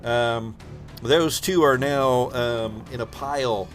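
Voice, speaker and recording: A man with an average-pitched voice runs a tabletop game as game master. He improvises the narration, hesitating and thinking aloud. Soft music plays behind him. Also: takes it slowly.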